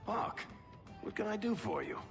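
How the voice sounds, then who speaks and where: An actor playing a roguish, world-weary tavern storyteller in a video game, glib, wry and familiar. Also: male voice, sits high, huge pitch swings, normal speed, full character voice. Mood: wry, teasing. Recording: long hall reverb, music behind.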